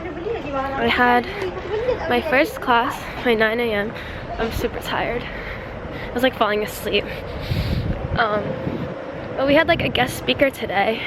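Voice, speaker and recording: A woman vlogging as an exchange student, chatting casually to her handheld camera, rambling and laughing often, with a stuffy, congested nose. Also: fairly high pitch. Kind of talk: casual vlog chat to camera. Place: dorm room, then outdoors on campus. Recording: noisy background.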